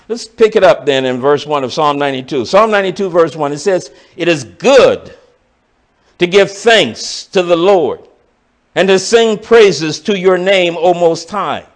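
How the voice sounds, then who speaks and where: A pastor explaining scripture verse by verse to his congregation in a sermon, talking directly to the listeners. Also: high voice. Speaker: pastor teaching a Bible study. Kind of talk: sermon-style Bible teaching.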